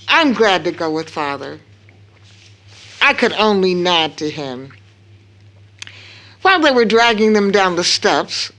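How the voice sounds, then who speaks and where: A grieving widowed mother in an audio drama. She speaks slowly at a middling pitch, solemn and deliberate. Her account is proud and heavy with loss, with weighted pauses between phrases. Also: fairly bright tone.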